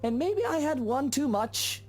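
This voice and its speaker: A man doing a sneering drunk husband, lively. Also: very high voice, unhurried, voice pushed up. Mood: patronizing. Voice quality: sneering, patronizing drawl. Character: drunk, jealous, condescending husband. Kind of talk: voicing a visual novel character.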